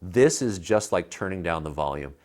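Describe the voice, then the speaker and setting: A low-voiced American man in his thirties chats as a tech reviewer. He talks casually and colloquially, pressing his recommendations with emphasis. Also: expressive, normal speed, darkish tone. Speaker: tech reviewer, show co-host. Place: living room at home. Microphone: clip-on lapel mic.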